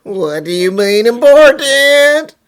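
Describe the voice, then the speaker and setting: A woman voicing a wary, easily flustered office worker in an animated fan dub. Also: fairly high pitch, slow pace, smooth.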